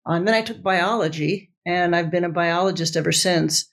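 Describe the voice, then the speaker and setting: A woman whose first language is Italian, a professor speaking as a podcast guest, enthusiastic and halting with many restarts. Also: fairly low pitch. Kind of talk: podcast interview.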